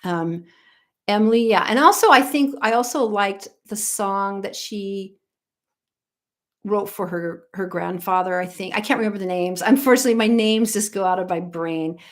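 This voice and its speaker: A woman's voice: mid-range voice, moderate pace. Woman, American, middle-aged, vocal coach, rambling casual chat, frequent ums. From United States. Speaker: vocal coach, livestream host. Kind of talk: casual live chat reading viewer comments.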